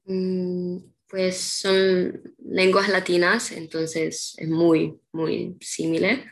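A young woman from Peru talking slowly as an interview guest, often pausing and starting her sentences over. Her voice sits a little low and has a gravelly fry to it. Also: flat delivery. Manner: hesitant, many ums and restarts. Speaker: interview guest, artist's model. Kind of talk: casual interview chat.

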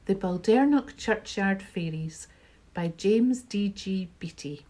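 Slow, mellow woman reciting poetry. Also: mid-range voice, expressive. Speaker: library staff member reading a poem. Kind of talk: poetry reading.

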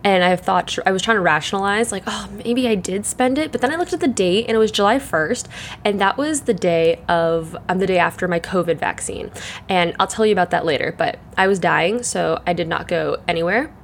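A young woman hosting her solo podcast, chatting in a rambling way full of fillers, her voice croaky and congested from the morning. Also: fairly low pitch, a little fast, a little hiss or hum. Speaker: podcast host. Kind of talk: casual solo chat.

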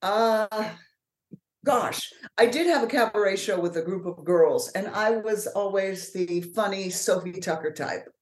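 A woman with a deeper voice, an author and actor talking frankly and with energy as an interview guest. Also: a little slow, echoey room. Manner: frank, lively storyteller. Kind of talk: casual interview conversation.

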